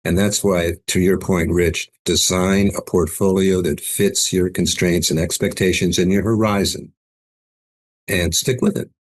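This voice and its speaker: Middle-aged male investment guest, very deep voice, measured delivery, dry close-mic sound. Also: steady tone, dry recording. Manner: measured, repeats words when starting. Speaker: chief investment officer, guest. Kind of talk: podcast interview answer.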